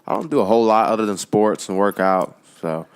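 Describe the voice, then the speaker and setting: A seventeen-year-old young man from Haiti chatting casually in a low-key, slightly hesitant way. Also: fairly quick, clear, resonant tone. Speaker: guest, younger brother of the host. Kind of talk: casual chat. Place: family kitchen.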